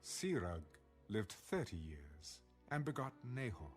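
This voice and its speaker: A man with a low voice narrates scripture formally. He takes it slowly and lets his pitch rise and fall widely. Faint music plays behind him. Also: sing-song. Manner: measured, formal. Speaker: audio Bible narrator. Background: soft background music.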